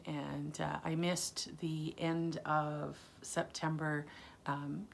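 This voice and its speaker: A woman's voice: sits low, gravelly, fairly bright tone. A woman hosting her knitting podcast in a casual, rambling way, full of ums and self-corrections, with a lingering chest cough. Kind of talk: casual solo podcast chat.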